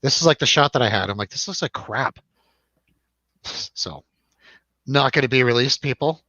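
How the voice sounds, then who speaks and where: Male host, animated and rambling, jokey casual review talk, dry slightly lo-fi recording. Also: mid-range voice, normal speed, no room sound. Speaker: host of a film review show. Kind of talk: casual chatty film discussion over drinks.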